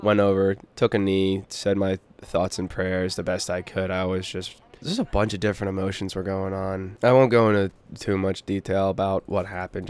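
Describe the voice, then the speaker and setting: A young man with a low, stuffed-up voice, talking slowly and earnestly in a personal vlog. His tone stays steady and flat, smooth under the congestion. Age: young adult. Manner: quiet, earnest, hesitant, with pauses. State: congested from a cold. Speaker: gaming YouTuber. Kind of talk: somber personal vlog voice-over.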